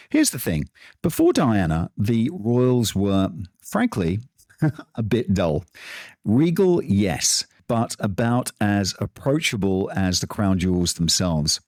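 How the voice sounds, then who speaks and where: Male podcast host, low-ish and very expressive, dramatic pauses, dry close sound. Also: no room sound. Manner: animated, storytelling delivery with dramatic pauses. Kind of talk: scripted podcast monologue, then interviewing.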